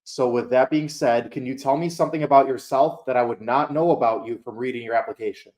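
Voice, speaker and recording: A man runs a mock admissions interview and puts each question in a formal, deliberate way. His pitch sits in the middle. He sounds practiced at his role. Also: darkish tone.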